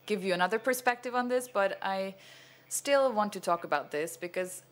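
Older man, halting lecture, fillers, stand mic on a cinema stage. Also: high-pitched. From Venezuela. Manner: hesitant, many fillers and restarts, gestures broadly. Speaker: cinematographer giving a masterclass. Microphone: stand microphone.

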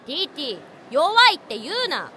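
A woman's voice: high voice, big pitch swings, a little fast, a bit bright, a little hiss or hum. A woman playing a hot-blooded, confident tomboy schoolgirl witch in an anime-style voice drama, brash and emphatic. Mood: indignant. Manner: brash, boyish wording, emphatic.

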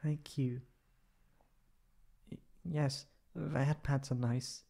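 A man voicing a shy, flustered young tsundere catboy in a high put-on voice, halting and stammering in a roleplay audio drama. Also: little pitch movement, vocal fry, warm tone. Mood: shy, soft. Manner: halting, stammering, pleading and defensive.

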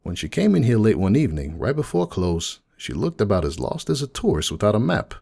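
A man's voice: fairly low pitch, very animated, a little fast, gravelly, warm tone, slightly rough, full character voice. A man voicing a friendly, nostalgic unicorn donut shop owner in a dramatic fan fiction reading, chatty and folksy like someone reminiscing. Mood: fond, reminiscing.

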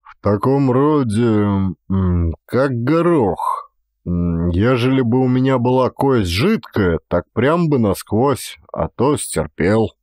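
A man's voice: medium pitch, expressive, takes it slowly, a little mellow, no room sound, clean studio sound. A man voicing a stolid, sly peasant Cossack daredevil who plays innocent, with serious rustic folk speech, in a dramatised audiobook. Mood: deadpan. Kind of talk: dramatised audiobook character acting.

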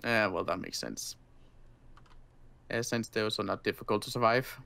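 A man playing a tired, injured survivor in a zombie game roleplay, speaking in a halting, dry, curt way. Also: lowish voice, gravelly, pushed and bright. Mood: weary, grudging. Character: weary, grudging injured male survivor of a zombie apocalypse. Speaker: roleplay player.

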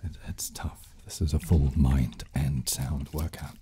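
A man in his thirties hosting a soft ASMR chat close on a desk microphone, hushed, halting and polite. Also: very deep voice, steady tone, slight background noise. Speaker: host of an ASMR channel. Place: small furnished studio room, indoors. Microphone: close desk condenser microphone.

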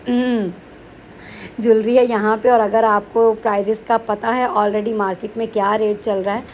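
A woman's voice: medium pitch, a little hiss or hum. A woman with a Pakistani Urdu accent narrating a shopping vlog in a chatty, upbeat way, mixing in English words. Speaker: vlogger.

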